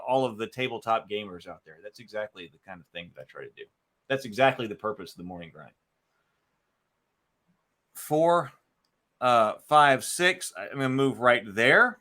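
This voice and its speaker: A man's voice: mid-range voice, bright, forceful tone. A man hosting a solo board game session, talking casually through his moves and dice counts as he thinks aloud. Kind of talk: casual live play-by-play with narrated moves.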